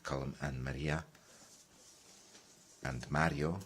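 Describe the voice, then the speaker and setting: A man working as a simultaneous interpreter, relaying a panel talk in a measured way, pausing with fillers as he keeps up. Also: extremely low pitch, fairly even intonation, a bit bright, slight background noise. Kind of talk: live conference interpretation.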